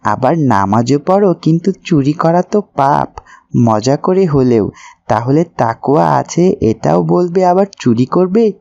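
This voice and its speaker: A devout young cartoon character voiced by an actor teaches in a slow, mellow voice. The delivery is earnest and preachy, with measured explanations. The sound is slightly dull. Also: medium pitch, expressive, creaky. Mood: earnest, instructive. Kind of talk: scripted Islamic cartoon dialogue.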